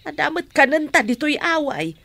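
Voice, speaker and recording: Caring mother, very expressive. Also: high-pitched, moderate pace, gravelly, dry recording, heavily acted. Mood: defensive. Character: gentle, caring mother of grown son. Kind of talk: radio drama acting.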